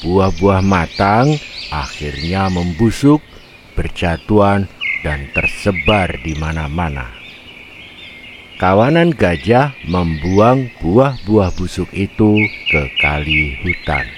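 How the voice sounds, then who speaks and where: A man's voice: sits low, animated, slow pace, background noise. A man narrating a scripted animal fable for children. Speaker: children's storyteller.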